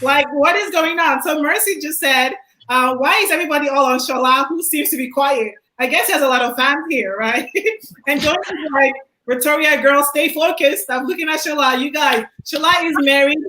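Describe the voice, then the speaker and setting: An upbeat woman hosts a live online talk and reads out viewer comments. Her voice sits high and bright, and it echoes around the room. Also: normal speed. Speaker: host. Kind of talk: hosting a live online discussion.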